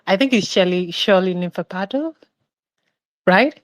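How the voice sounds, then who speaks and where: A woman's voice: mid-range voice, animated, unhurried, no room sound, clean studio sound. A woman giving her opinion on a film podcast, chatty and meandering, with lots of "like" and restarts. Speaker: podcast panelist. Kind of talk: casual opinion chat.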